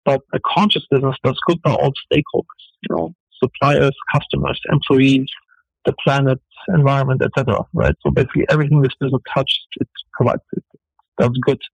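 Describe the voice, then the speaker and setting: Fast, gravelly man with a German accent. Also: medium pitch, fairly even intonation, dry recording, rough recording. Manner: earnest, fast, full of fillers. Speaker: entrepreneur guest. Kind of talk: radio interview answers.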